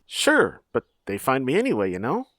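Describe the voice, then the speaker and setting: A man's voice: medium pitch, huge pitch swings, moderate pace, fairly bright tone, no echo, clean studio sound. A man playing a scrappy teenage son in an audio drama, cocky yet earnest, talking in an eager, defensive, boyish way. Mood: excited, defensive.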